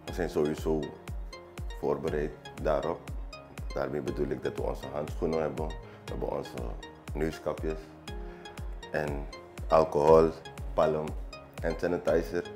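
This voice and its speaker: A man in his thirties with a Surinamese accent, answering in an unscripted interview in a halting way, repeating phrases for emphasis. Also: very deep voice. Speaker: barber, small business owner interviewee. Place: small barbershop, indoors, seated. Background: background music.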